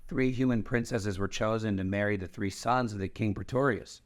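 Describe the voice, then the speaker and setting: A man's voice: on the low side, normal speed, natural voice. A man playing a solemn dragon-shifter recounting old lore in a fantasy screenplay table read.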